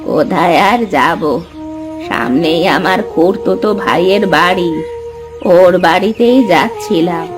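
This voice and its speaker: Slow, weary old village granny played by a woman. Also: fairly low pitch, close to an everyday voice. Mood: kindly, pleased. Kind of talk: cartoon fairy-tale voice acting. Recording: music behind the voice. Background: clear background music.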